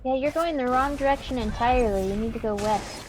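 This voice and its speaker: Higher-voiced woman giving casual gaming directions. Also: brisk, darkish tone, a little hiss or hum. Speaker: gaming show co-host. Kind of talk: casual gameplay chat.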